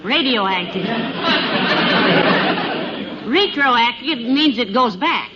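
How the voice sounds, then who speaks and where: An actress playing a patient, sensible wife in 1950s radio comedy, genteel and gently chiding. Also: female voice, a little high, animated, very slow, over a noisy crowd. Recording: noisy, dull old radio, loud studio audience.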